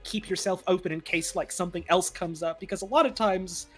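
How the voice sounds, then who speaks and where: A fandub performer chatting casually on a livestream in a halting, rambling way, animatedly quoting their own thoughts. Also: mid-range voice, fairly even intonation, breathy edge. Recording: music playing behind the voice. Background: background music.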